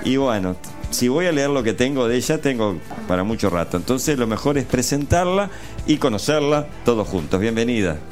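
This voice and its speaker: A man hosting an unscripted radio interview in a halting, rephrasing way. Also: mid-range voice, expressive, darkish tone, soft background music. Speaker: radio host.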